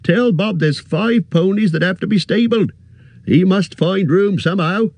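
A man reading aloud and voicing a fat, bustling, forgetful middle-aged innkeeper in a high, flustered voice. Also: a little slow, vocal fry, a little mellow, dry recording, heavily acted. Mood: harried. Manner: flustered, chattering, high-pitched.

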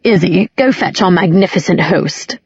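A woman narrator voices a scornful young man from a novel. He is smug and drily sarcastic, with a husky tone, on a dry and rather dull recording. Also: fairly low pitch, normal speed, a little mellow, no echo, heavily acted. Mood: mocking, amused.

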